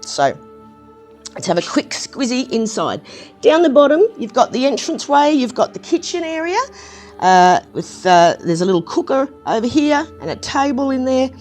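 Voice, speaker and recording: A woman with a slightly croaky voice, chatting casually through a hands-on review of a building set, with plenty of ums and restarts. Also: high voice, big pitch swings, moderate pace, darkish tone, soft background music. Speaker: brick set reviewer, channel host.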